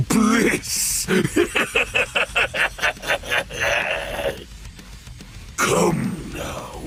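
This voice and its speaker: An actor playing a sadistic monster machine in a video game, drawing out words in a gloating, theatrical way with gravel and breathy hisses. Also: male voice, on the high side, full character voice. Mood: sadistic ecstasy. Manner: slow, drawn-out, gloating, theatrical delivery. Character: sadistic male monster machine, menacing, theatrical, relishes pain. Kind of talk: dramatic video game voice acting. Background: music.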